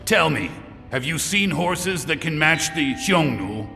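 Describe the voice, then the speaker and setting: Brooding, imperious emperor male actor for audio drama; measured delivery in a reverberant hall. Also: on the high side, very animated, creaky, full character voice. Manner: grave, imperious, deliberate. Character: proud, commanding ancient Chinese emperor, brooding and ambitious. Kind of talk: amateur audio drama acting. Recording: long hall reverb, loud music behind.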